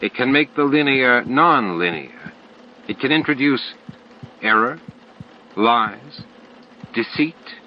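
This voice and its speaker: A man voicing cryptic alien entities in an audiobook, solemn and insistent, with deliberate, stilted phrasing and pauses between words. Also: mid-range voice, lively intonation, muffled recording, slight background noise, pitched above the performer's own voice. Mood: cryptic, probing. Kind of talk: audiobook character dialogue.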